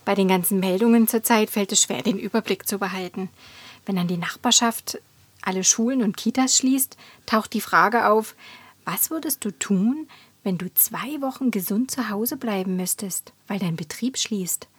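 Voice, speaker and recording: A woman's voice: mid-range voice, moderate pace, husky, no echo, natural voice. A woman playing a thoughtful social media poster who puts questions to followers in a radio collage.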